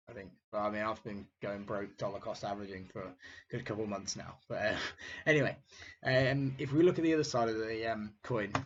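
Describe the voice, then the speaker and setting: A young man hosting a casual finance video, his voice croaky from illness, talking hesitantly with many fillers. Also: lowish voice. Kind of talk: casual market commentary. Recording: dull lo-fi sound, faint noise.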